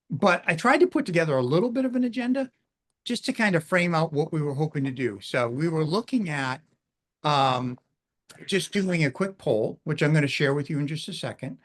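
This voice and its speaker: Middle-aged male host; easygoing, anecdotal delivery, voice on the higher side. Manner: chatty and informal, anecdotal asides. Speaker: webinar host. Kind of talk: casual webinar chat.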